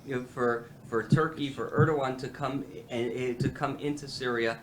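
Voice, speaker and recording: A man's voice: mid-range voice, fairly even intonation, normal speed. Guy running a policy meeting, lots of uh and um, bit of hiss behind. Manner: hesitant, many fillers and restarts. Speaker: institute president hosting a policy meeting. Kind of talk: halting roundtable remarks.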